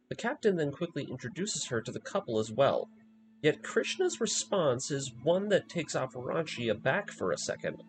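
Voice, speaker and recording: A man's voice: fairly high pitch, low-quality recording. A man narrating a scripted video review, moving between commentary and story recap.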